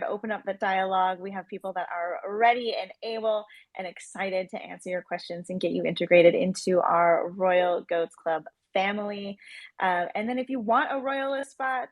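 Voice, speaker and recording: A woman's voice: mid-range voice, dry recording. A woman chatting casually as an interview guest, effusive and emphatic. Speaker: guest, project founder.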